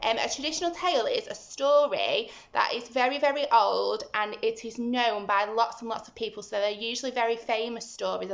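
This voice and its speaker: A woman teacher talking simply and deliberately to young children as she explains and reads a storybook aloud. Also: medium pitch, creaky. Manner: simple, deliberate, instructive, animated when reading. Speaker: early-years school teacher. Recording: roomy, slightly muffled home recording.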